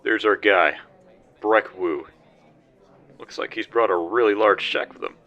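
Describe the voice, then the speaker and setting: An actor playing a terse, matter-of-fact military sniper in an audio drama. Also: male voice, lowish voice, a little slow, highs cut off. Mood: focused, terse. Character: terse covert military sniper reporting targets.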